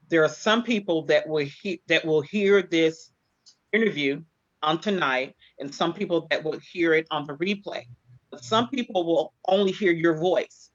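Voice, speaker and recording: A woman hosting an online interview show, warm and encouraging, who calls her guest "sis". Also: deep voice, no echo. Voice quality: speaks from personal experience of hair loss. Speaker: host. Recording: dry, muffled sound.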